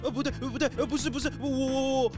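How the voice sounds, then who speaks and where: A woman doing a jittery male grizzly bear in a children's audio drama, tripping over his words in a slightly raised voice. He sounds frightened and stammers constantly, over clear background music. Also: sits high, fairly even intonation, rapid-fire, close to an everyday voice. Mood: stammering scared.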